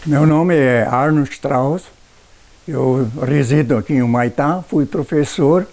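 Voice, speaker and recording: A man in his eighties with a southern Brazilian accent, a retired teacher recalling his life in a halting, deliberate way for a documentary. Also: medium pitch, gravelly, darkish tone. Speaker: retired schoolteacher. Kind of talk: personal recollection for a documentary.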